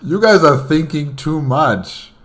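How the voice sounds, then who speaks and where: A man's voice: fairly high pitch, expressive, slow pace, a bit bright. A man hosting a reaction, commenting casually and conversationally, repeating phrases as he goes. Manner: conversational, repeats phrases, speaks to the story's characters. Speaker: reaction host.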